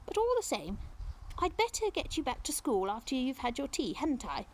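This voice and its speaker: A woman's voice: high-pitched, sing-song, normal speed, voice pushed up. A woman voicing a chatty, kindly and wistful old English lady in clipped, homely phrases for a children's storybook. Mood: kindly, firm.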